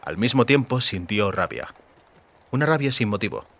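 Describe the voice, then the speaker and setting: A man's voice: on the low side, very animated, normal speed, fairly bright tone. A man reading scripted fantasy fiction as an audiobook narrator.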